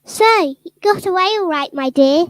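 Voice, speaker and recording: A tiny, kindly male mouse comes through in a very high, soothing voice. He reassures a frightened girl gently. The sound is dry and close, as in a children's radio play. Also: expressive, moderate pace, dry recording.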